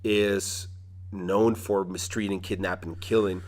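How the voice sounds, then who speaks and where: A man's voice: deep voice, level tone, takes it slowly, darkish tone. A man from Mexico hosting a podcast interview, talking in a loose, rambling way with lots of restarts. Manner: rambling, many restarts and fillers. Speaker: podcast host. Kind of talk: casual conversational interview.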